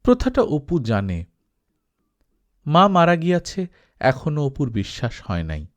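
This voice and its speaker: A man reading a literary novel aloud as an audiobook storyteller, expressive, with dramatic pauses. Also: a little high. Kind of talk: scripted literary narration.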